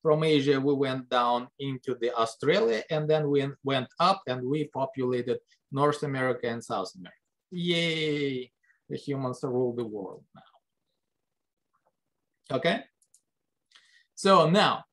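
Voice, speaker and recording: Lively man lecturing. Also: unhurried. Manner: conversational, asks the class rhetorical questions; lively pitch jumps for emphasis. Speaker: biology lecturer. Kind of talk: recorded classroom lecture over slides.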